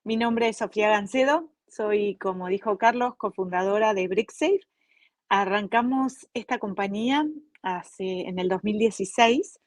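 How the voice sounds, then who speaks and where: A woman's voice: medium pitch, unhurried, dry recording. A woman from Argentina with an Argentine Spanish accent, a company co-founder explaining her business in a fluent, businesslike way during an online interview. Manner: fluent, businesslike storytelling with brief fillers.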